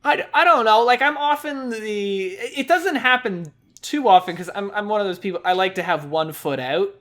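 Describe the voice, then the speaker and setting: A man co-hosting a podcast in casual chat, rambling along with stammered restarts and quick laughs. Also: high-pitched, a bit bright. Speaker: podcast co-host.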